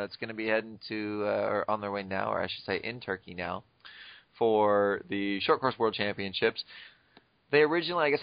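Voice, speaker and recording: A male podcast host chats casually with his co-host, filling his sentences with fillers and amused asides. His voice is fairly low. The sound is dry and dull, as if from a lo-fi setup. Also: no room sound.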